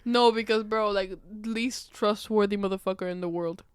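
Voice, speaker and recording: A woman's voice: medium pitch, steady tone, normal speed, clear, resonant tone. A woman co-hosting a casual comedy podcast, talking bluntly in heavy slang with plenty of swearing and playful exaggeration. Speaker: podcast co-host.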